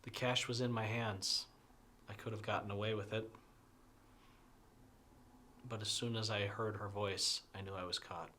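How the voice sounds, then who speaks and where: A man in his sixties confesses a past mistake in a quiet, half-whispered voice. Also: lowish voice, steady tone, moderate pace, long pauses, a little hiss or hum. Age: 60s. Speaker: interviewee. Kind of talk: film dialogue.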